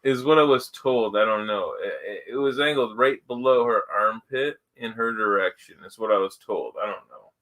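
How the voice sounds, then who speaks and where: A man's voice: fairly low pitch, moderate pace, hardly any pauses, fairly bright tone, low-quality recording. A man with a Canadian accent giving casual, blunt live commentary full of slang and swearing as he hosts a reaction stream.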